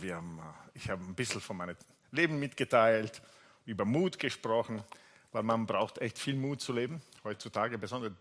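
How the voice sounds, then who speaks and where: A man's voice: lowish voice, fairly bright tone. A man from Italy with an Italian accent, preaching a sermon while seated, chatty and full of fillers and restarts. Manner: chatty, many fillers and restarts, jokes with the congregation. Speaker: pastor. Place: church hall. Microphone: seated preacher on stage mic.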